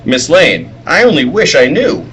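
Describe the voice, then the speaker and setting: A man's voice: on the high side, big pitch swings, measured pace, fairly bright tone, husky, exaggerated voice. A man playing a mild, earnest, hesitant young newspaper reporter in a 1940s radio serial read live. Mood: bashful. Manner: hesitant, polite. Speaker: table-read performer. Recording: noisy convention floor ambience. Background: convention hall noise.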